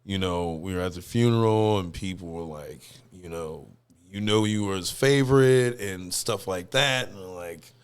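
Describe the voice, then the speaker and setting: A man with a fairly deep, raspy voice speaks as a guest musician in a relaxed podcast conversation. He tells his stories in an animated, rambling way, with casual phrasing. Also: a little slow.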